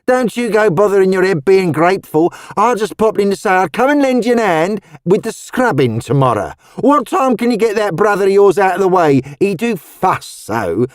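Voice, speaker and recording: A man's voice: high voice, big pitch swings, normal speed, hardly any pauses, no room sound, studio quality, voice pushed up. A man doing a chirpy old Cockney charwoman. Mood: cheerful, chatty. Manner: chatty, homely, motherly. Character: cheerful wizened little old Cockney charwoman.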